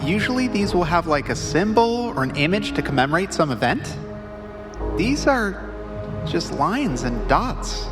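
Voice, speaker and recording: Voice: mid-range voice, sing-song, unhurried, music in the background. An actor playing a curious, easily distracted, bespectacled youngster in an audio drama, chatty and eager to explain things. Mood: curious, puzzled.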